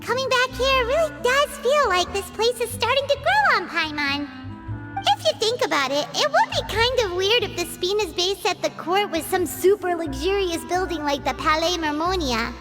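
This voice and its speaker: A tiny fairy companion from a video game. The voice is squeaky and childlike, pitched extremely high with very lively swoops. The character is excitable and prone to whining, and music plays clearly behind. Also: female voice, moderate pace. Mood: thoughtful. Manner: refers to self in third person, exclaiming. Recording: clean studio voice over game music.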